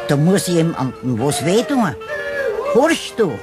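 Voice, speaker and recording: A man's voice: a little high, sing-song, takes it slowly. A man playing a worried, nosy caretaker in a radio play, insistent, with a Bavarian accent. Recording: music playing behind the voice.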